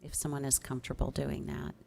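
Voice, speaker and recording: A woman's voice: extremely low pitch, completely flat delivery, brisk, gravelly, darkish tone. A woman explaining cases to a commission in an informal, accommodating, slightly hesitant way, as a city staff member. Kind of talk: informal public meeting talk.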